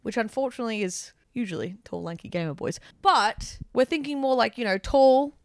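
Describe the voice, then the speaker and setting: A woman's voice: fairly high pitch, animated, fairly quick, dry recording. Young Australian woman, podcast co-host, loose sweary banter, dry close sound. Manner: sweary, filler-heavy teasing. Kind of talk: casual chat. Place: abroad, travelling in Italy.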